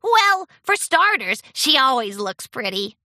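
A woman voicing a cheeky, greedy little boy dragon in a storybook, with a frank, excitable manner. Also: high voice, a little slow, dry recording, clean studio sound, voice pushed up, heavily acted. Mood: thoughtful.

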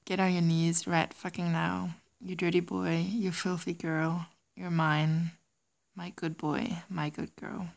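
A young German woman with a light German accent, chatting casually and giggly, often slipping into a soft whisper. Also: on the low side, level tone, slow, creaky. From Germany, raised in Singapore. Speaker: audio creator. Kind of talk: casual chat reading word lists.

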